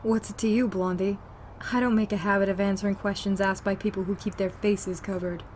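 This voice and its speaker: A woman's voice: medium pitch, steady tone, fairly quick, a little mellow, lightly acted. A woman playing a sardonic, distrustful survivor in an audio drama, with a dismissive way of talking. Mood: scornful. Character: gruff, sardonic survivor who distrusts strangers. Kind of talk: amateur audio drama acting. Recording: faint background noise.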